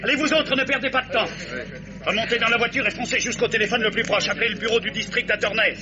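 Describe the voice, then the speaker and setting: An actor playing an authoritative police chief who barks out orders in an old French radio crime drama. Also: male voice, very high voice, fairly even intonation, fast, noisy background. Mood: barking orders. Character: commanding, brisk police chief making an arrest. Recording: muffled old radio recording, music behind.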